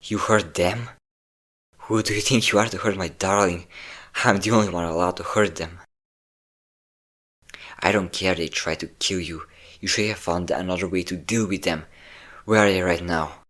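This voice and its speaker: A man's voice: deep voice, steady tone, normal speed, long pauses, fairly bright tone. A man playing a possessive, obsessive centuries-old vampire in a dramatic romantic audio roleplay, intense and theatrical in delivery. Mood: cold fury. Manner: intense, theatrical, swinging between tender and threatening. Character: possessive obsessive five-hundred-year-old male vampire lover, menacing, volatile.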